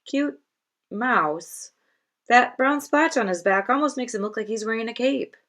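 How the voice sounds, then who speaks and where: A woman's voice: a little high, unhurried, a bit bright, voice pushed up. A woman as a flustered male schoolteacher. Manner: hesitant, flustered. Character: distracted, flustered male fifth-grade teacher.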